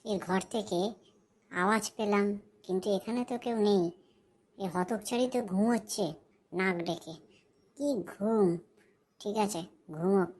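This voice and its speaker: A woman's voice: mid-range voice, a little slow, pauses often, a little mellow, close to an everyday voice. A woman voicing a harsh, bossy aunt in a cartoon story, with a scolding, domineering manner. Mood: suspicious, grudging. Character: harsh, scolding, bossy aunt who overworks her niece.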